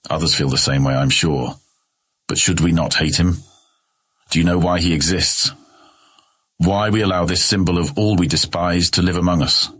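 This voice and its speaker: Smug, sneering brother. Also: male voice, deep voice, fairly even intonation, moderate pace, long pauses, fairly bright tone, husky. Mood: mocking. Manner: smug, mocking, coldly sarcastic. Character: scheming, condescending noble elder brother. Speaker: voice actor. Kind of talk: audio drama acting.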